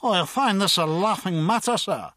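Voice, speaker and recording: A man's voice: high-pitched, normal speed, pushed and bright, no echo, clean studio sound, voice pushed up. A man voicing a blustering, red-bearded drunk with a Dublin accent, slurring pompously and hiccuping. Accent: Irish, Dublin.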